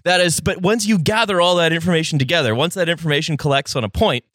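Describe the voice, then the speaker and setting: A man co-hosting a casual podcast, explaining a topic in halting sentences full of restarts. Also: fairly high pitch, a bit bright, no room sound, clean studio sound. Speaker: podcast co-host explaining the news.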